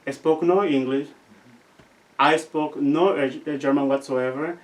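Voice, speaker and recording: A young man with a Guatemalan accent, telling stories as an interview guest and often pausing to search for his words. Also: a little high, steady tone. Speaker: seminarian guest. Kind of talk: casual interview storytelling. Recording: distant from the mic.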